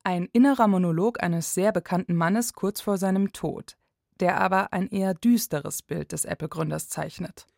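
Low-pitched, dry-sounding woman radio reporter. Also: normal speed, vocal fry, darkish tone, no room sound. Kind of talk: scripted radio feature narration.

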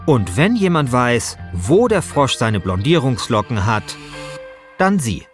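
A man narrates a podcast as its host in a scripted first-person style. His mid-range voice moves up and down in a lively way, with soft music behind it. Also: mid-range voice, slow.

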